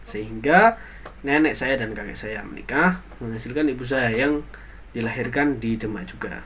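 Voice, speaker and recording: A man's voice: mid-range voice, a bit bright. An earnest young man with a mid-range voice speaks for a class assignment. He moralizes with conviction but halts often, trailing into fillers and starting phrases over. A faint background hiss runs under the recording. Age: young adult. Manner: earnest, halting, many fillers and restarts, moralizing. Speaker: university student. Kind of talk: spoken class assignment monologue.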